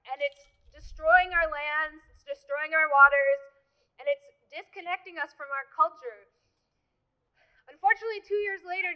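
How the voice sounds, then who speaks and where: A woman with a Canadian accent delivers an earnest, emphatic public speech. Her voice is very high, and the recording sounds somewhat dull and lo-fi. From Happy Valley-Goose Bay, Labrador, Canada. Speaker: Inuk activist speaker.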